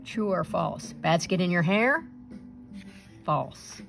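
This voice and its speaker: A woman reading a children's picture book aloud in an expressive storytelling style, acting out the sounds and dialogue. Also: on the low side, slow pace, vocal fry, soft background music. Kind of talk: animated read-aloud narration for children.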